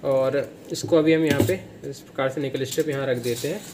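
A man's voice: mid-range voice, a little fast, background noise. A man, showing off a product on camera in casual talk, with many fillers and self-corrections. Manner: filler-heavy, repeats "kya" questions to himself, self-corrects. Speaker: seller demonstrating a product. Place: small room, indoors.

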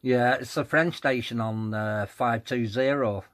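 A man's voice: medium pitch, steady tone, a little slow. Man on amateur radio. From England. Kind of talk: casual two-way radio contact using call signs.

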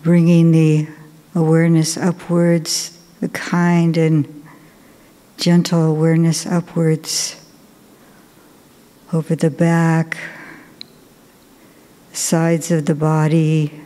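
Slow, gentle woman guiding meditation. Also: low-pitched, completely flat delivery. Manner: slow, gentle, soft-spoken with long pauses. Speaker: Buddhist nun leading meditation. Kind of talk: guided meditation. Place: large cathedral. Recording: long cathedral reverb.

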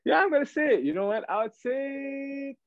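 A man, chatting casually as an interview guest in a halting, slangy way on a video call. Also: extremely high pitch, animated, no room sound, lo-fi sound. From Canada, raised in Toronto. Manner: halting, slangy, searching for words. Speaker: guest R&B singer. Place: small room, indoors. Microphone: video call, device at arm's length.

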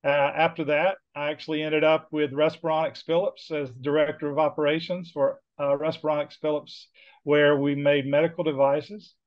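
A man's voice: on the high side, flat delivery, moderate pace. Middle-aged Southern man on a video call. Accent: Southern US, Georgia. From Georgia, USA. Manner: friendly, rambling with many fillers. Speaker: guest, business consultant. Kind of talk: casual interview talk. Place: home office with bookshelves. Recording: dull lo-fi video call audio.

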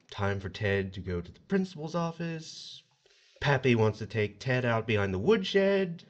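An actor playing a smug, folksy agent of the afterlife who mockingly recites a sinner's crimes in an audio horror drama. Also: male voice, mid-range voice, big pitch swings, measured pace, dull audio. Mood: folksy, impatient.

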